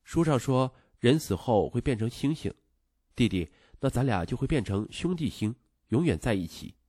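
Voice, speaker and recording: A sad, timid young boy from an audio story, as a male narrator puts him on. He speaks hesitantly and tenderly, weighed down by guilt. The voice is slightly husky and closely recorded. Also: medium pitch, lively intonation, moderate pace, mellow, no room sound, clean studio sound. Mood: sorrowful.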